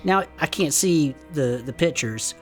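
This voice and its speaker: Low-voiced, animated woman podcast co-host. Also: unhurried, soft background music. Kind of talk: casual comic chat.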